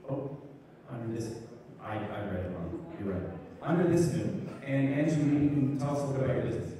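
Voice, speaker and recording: A man's voice: medium pitch, quick pace. A man moderating a panel, casually introducing speakers with a lot of hesitation. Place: event hall. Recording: distant, very echoey hall with crowd.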